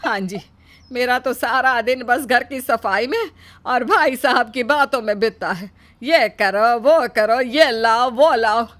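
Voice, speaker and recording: A woman's voice: on the high side, slow pace, fairly bright tone. A woman voicing a fed-up, worn-out mother of a household in a family story, complaining emphatically. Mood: exasperated. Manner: complaining, mimicking orders.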